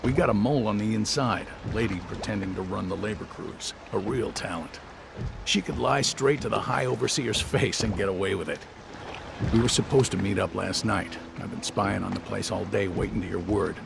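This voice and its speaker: Brief: low-level male crook, very gravelly and lowish, wary, water and engine noise around. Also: moderate pace. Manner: wary, rough street talk. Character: nervous small-time male smuggler, street-wise.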